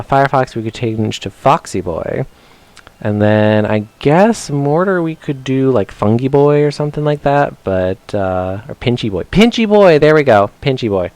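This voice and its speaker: A man's voice: mid-range voice, a little mellow. A man doing casual, rambling gameplay commentary for a live stream, chatting playfully to his viewers as he plays. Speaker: game streamer.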